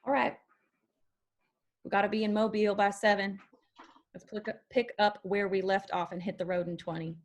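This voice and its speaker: Woman playing a wounded, sharp-tongued country singer. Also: medium pitch, fairly even intonation, normal speed, pauses often, close to an everyday voice. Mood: resigned. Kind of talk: screenplay table read.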